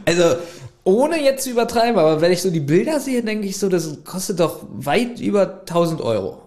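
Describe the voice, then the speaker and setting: A male podcast co-host with a slightly raised pitch, relaxed and dry in casual banter. He throws in quick yeses and noes and deadpan comebacks. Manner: laid-back, dry replies, many short agreements. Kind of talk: casual chat.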